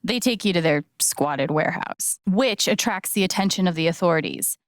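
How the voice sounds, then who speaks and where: A woman playing a sincere, gently wry young game heroine, with an American accent and an understated, conversational delivery. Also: lowish voice, animated, moderate pace, no room sound, clean studio sound, lightly acted. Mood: playful. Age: young adult. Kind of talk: naturalistic video game voice acting.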